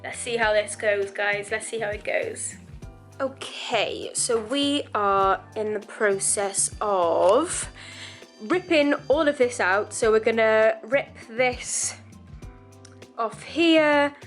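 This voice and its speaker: A woman vlogging casually about renovating her living room, chatty and rambling, her voice croaky from dust. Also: on the high side, slow, faint music behind. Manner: chatty, rambling, laughs often. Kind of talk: casual chatty vlog to camera.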